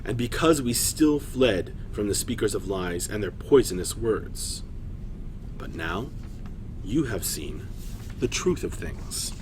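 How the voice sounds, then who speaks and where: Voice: mid-range voice, animated, slow, background noise. A man playing a proud, solemn female clay golem in a fantasy story, with grave, formal speech.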